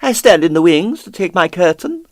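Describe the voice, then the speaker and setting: Bloke putting on a proud evasive ballet dancer for an audiobook, halting english, very swoopy pitch. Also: male voice, very animated, dry recording, clean studio sound. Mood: uneasy. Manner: theatrical, halting English with bits of French. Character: proud, evasive foreign male ballet dancers answering police.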